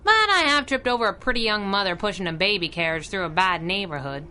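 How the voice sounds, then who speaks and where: A woman's voice: mid-range voice, lively intonation, quick pace, bright, forceful tone. A woman playing a plucky, wisecracking young crimefighter sidekick in a 1930s radio drama, slangy and sarcastic. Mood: alert.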